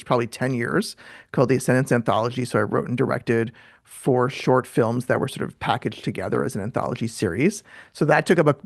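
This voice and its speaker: A middle-aged American man from New York City chatting casually as an interview guest, quick to joke at his own expense. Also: mid-range voice, vocal fry, no echo, clean studio sound. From New York City, USA. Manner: fast-talking, self-deprecating joker. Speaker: guest, author and filmmaker.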